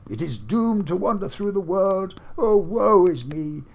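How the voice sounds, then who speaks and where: Here is a mournful, doomed spirit of a dead old businessman dragging his chains, as a man reads him aloud in a storybook. He speaks high and loud in a slow, wailing lament. His husky voice has a gravelly edge. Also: lively intonation, heavily acted.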